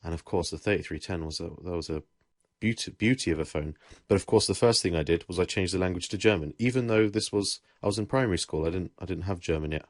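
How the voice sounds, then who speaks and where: A man's voice: deep voice, fast talker, no echo, studio quality. A young man from England chatting casually, rambling and correcting himself as he tells stories. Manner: relaxed, rambling, with self-corrections. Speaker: podcast host. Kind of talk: casual chat.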